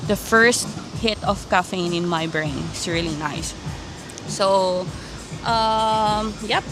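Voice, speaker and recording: A woman with a Filipino accent talks at a middling pitch in a casual, slangy vlog style. She rambles informally as she addresses her viewers, with music clearly playing behind her. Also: takes it slowly. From Philippines (Manila). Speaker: vlogger. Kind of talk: casual chatty personal vlog. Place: quiet empty cafe.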